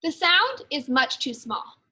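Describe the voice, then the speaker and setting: A woman teacher reading a storybook aloud for young children, expressively and in measured phrases. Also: high-pitched, big pitch swings, pushed and bright. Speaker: second grade teacher.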